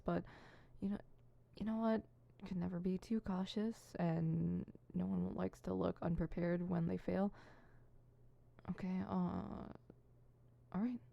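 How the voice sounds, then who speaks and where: A woman's voice: fairly low pitch, creaky, lo-fi sound. A woman playing an insecure, theatrical supervillain rehearsing monologues in an ASMR roleplay, switching between fumbling mutters and pompous recital. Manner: fumbling self-conscious muttering broken by pompous verse recital. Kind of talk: ASMR roleplay, scripted solo audio drama.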